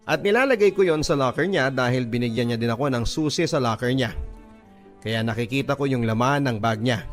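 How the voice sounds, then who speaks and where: A man reading a scripted first-person love story as narration for a radio drama. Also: mid-range voice.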